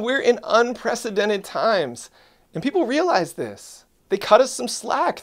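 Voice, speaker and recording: Earnest animated man talking to camera. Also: high-pitched. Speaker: church ministry vlogger. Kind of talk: scripted talk to camera.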